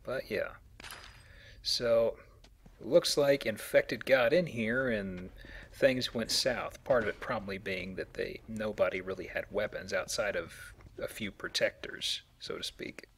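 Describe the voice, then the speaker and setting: A man's voice: fairly low pitch, measured pace, a bit bright, slightly rough. A man hosting a Let's Play, explaining things in a casual, conversational commentary. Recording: faint background noise.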